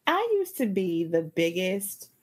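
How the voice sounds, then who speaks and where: A woman's voice: lowish voice, very animated, unhurried, slightly rough. A woman co-hosting a casual pop culture chat, candid and a bit hesitant, with many fillers. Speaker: podcast co-host.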